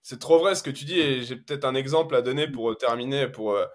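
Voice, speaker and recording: A man who is a guest SEO consultant, talking in a relaxed, hesitant way with many fillers. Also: medium pitch, quick pace. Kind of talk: casual podcast chat.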